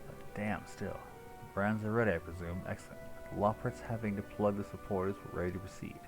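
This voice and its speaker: A man's voice: lowish voice, fairly even intonation, brisk. A man livestreaming a video game, reading dialogue aloud and commenting casually. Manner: casual, sweary interjections. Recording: game music behind the voice. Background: video game music.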